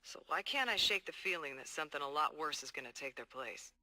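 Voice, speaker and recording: An actor playing a determined, hard-boiled police detective dictating earnest, streetwise case logs in a video game. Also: male voice, a little high, animated, brisk, bright, forceful tone. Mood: wary, troubled. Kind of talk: video game audio log monologue.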